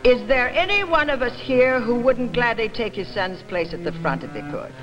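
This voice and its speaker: A woman voicing a fat older father in a dramatic reading, bitterly defiant, with an emphatic, rhetorical and halting delivery. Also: a little high, lively intonation, highs cut off, over music, voice pushed up, close to an everyday voice. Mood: impassioned.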